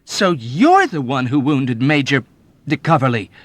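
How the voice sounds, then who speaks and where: A scandalized young serviceman, as a male narrator puts him on in a spoken-word story. He shouts his objections in a raised, strained voice, tripping over words in disbelief. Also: fairly high pitch, huge pitch swings, normal speed, no echo, pitched above the performer's own voice, heavily acted. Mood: indignant. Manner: loud, outraged, stammering protests. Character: earnest, indignant young male soldier.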